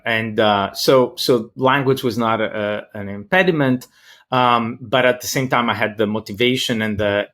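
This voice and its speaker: A man from Greece with a Greek accent answers interview questions as a professor, thoughtful and halting as he searches for words. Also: fairly low pitch, a bit bright. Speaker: interview guest, political science professor.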